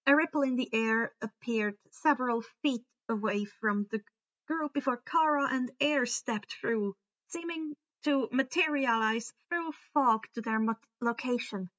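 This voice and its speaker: A woman's voice: fairly high pitch, a little slow, fairly bright tone, highs cut off. A woman narrating a story aloud, now and then stumbling and rereading words. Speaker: fan fiction reader. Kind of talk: story narration with character dialogue.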